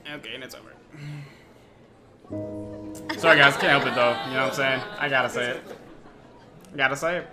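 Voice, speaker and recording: A man's voice: medium pitch, steady tone, fairly quick, long pauses. A man giving casual, off-the-cuff reaction commentary, slangy and given to repeating himself. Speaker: reaction video host. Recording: music behind the voice. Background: dubbed comic's music playing.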